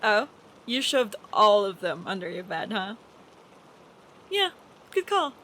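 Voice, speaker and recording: A young woman acting an affectionate, teasing best friend who is nervously smitten, in a soft, hesitant, intimate roleplay style with rain behind her. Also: mid-range voice, slow pace, slight background noise, low-quality recording. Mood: playful, teasing. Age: young adult. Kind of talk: intimate roleplay audio acting, girlfriend-experience monologue. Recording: steady rain sound effects behind voice.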